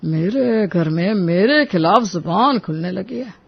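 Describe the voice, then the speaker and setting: A man playing a stern, scolding older father-in-law in a Hindi animated moral story, indignant and domineering. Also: high-pitched, measured pace, creaky, breathy edge, heavily acted. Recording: dull, lo-fi audio.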